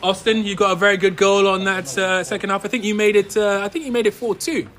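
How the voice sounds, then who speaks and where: A man's voice: high-pitched, fairly even intonation, fast, fairly bright tone. A man hosting a casual post-match football chat, often repeating his phrases as he puts questions to players. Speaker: host of amateur football podcast.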